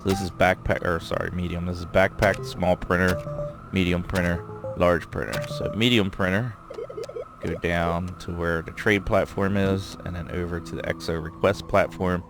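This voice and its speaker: A man giving casual let's-play commentary, thinking aloud and rambling with fillers as he goes, his voice going a bit hoarse. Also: low-pitched, flat delivery, moderate pace, over music. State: losing his voice. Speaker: gameplay commentator.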